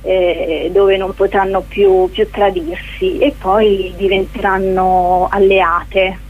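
Slow, halting woman on a phone line. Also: medium pitch, flat delivery. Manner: hesitant, halting, with searching pauses. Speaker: interview guest, actress presenting a show. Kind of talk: informal radio interview. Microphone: telephone. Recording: narrow, tinny phone line.

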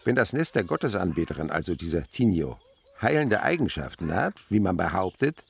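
A man's voice: deep voice, very animated, fairly quick, creaky, exaggerated voice. An actor playing an eccentric, learned father and insect researcher in a German radio play, wordy, didactic and teasing. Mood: didactic, sceptical.

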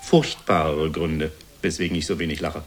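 An actor playing a melancholy, submissive middle-aged son, hesitant and formally deferential, in a radio play. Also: male voice, extremely low pitch, steady tone, normal speed. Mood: subdued, pained. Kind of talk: German radio play acting.

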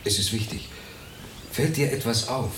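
An actor playing a troubled, brooding man in a German radio play, speaking in an intense, halting, philosophical way. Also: mid-range voice, expressive, noisy background, natural voice. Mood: uneasy, urgent. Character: troubled, brooding man estranged from time and perception.

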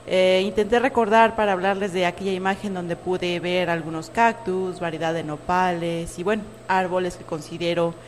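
A woman's voice: lowish voice, steady tone, normal speed, hardly any pauses, a little hiss or hum. A Mexican woman co-hosting a community radio segment, speaking casually and stopping to search for words. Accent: Mexican Spanish. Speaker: radio show co-host.

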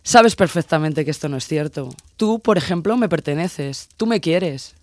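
Guy acting as a young sailor woman in an audio story, intense n possessive, teasing, voice on the high side. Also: animated, normal speed, no echo, close to an everyday voice. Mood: intense, insistent. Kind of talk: dramatised audio story dialogue.

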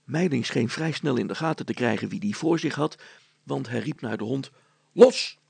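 A male storyteller reads a storybook narrative in a heavily creaky, husky voice. The sound is close and dry, with hardly any room around it. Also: medium pitch, brisk, dry recording.

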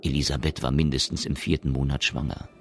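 A man's voice: rumbling low, level tone, fast talker, a bit bright, a little hiss or hum. A man narrating a science fiction radio play in German as a scripted storyteller.

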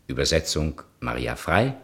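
Deep-voiced male radio drama narrator. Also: big pitch swings, normal speed, gravelly, fairly bright tone. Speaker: announcer and narrator.

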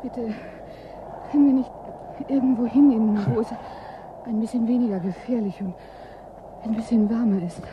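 A guarded, sharp-tongued woman agent, played by an actress. She is bold and evasive, needling whoever she talks to in radio-play style. The sound is a little dull. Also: fairly high pitch, steady tone, moderate pace, pauses often, background noise, lightly acted. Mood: exhausted, grateful. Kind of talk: German radio play acting.

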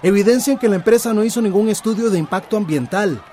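Earnest man, acted, whistleblower role, high pitch, radio drama, faint street noise behind. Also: fast talker, warm tone, lightly acted. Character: earnest male whistleblower handing over evidence against company.

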